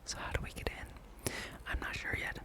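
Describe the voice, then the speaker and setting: A person whispering the lines of a wary, serious rebel plotter from a fantasy game, breathy and conspiratorial. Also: moderate pace, natural voice. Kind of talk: whispered read-aloud of fantasy game dialogue.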